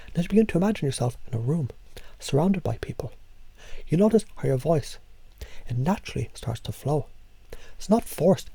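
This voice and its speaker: A man leading a slow, hypnotic voice exercise as a coach, speaking softly and often half-whispering. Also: fairly high pitch, breathy edge, dry recording. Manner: soft, calm, half-whispered delivery.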